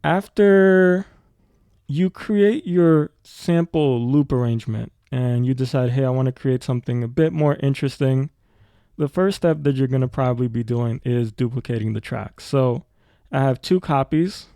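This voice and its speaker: A man with a mellow, relaxed voice walks through a production tutorial in casual language. His pitch is average, and the sound is close and dry, with no room on it. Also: moderate pace, dry recording.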